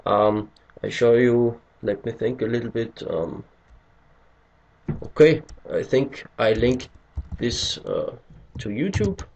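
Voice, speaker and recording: A man with an Austrian German accent talks slowly through a computer tutorial, pausing often to find his English words. His voice sits at an ordinary middle pitch. Faint noise and mouse clicks sit under his speech. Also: lively intonation, creaky, a little mellow. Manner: hesitant, searching for English words. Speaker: tutorial maker. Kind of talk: screen-recorded tutorial.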